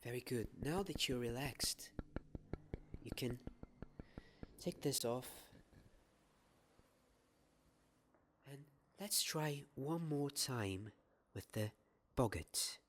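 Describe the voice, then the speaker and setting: A young man playing a kind, gentle wizard professor in a soft-spoken ASMR roleplay, soothing and full of pauses. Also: mid-range voice, lively intonation, slight background noise. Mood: soothing, hushed. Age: young adult. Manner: slow, soothing, pausing, repeats words softly. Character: kind, gentle male wizard professor teaching defense class.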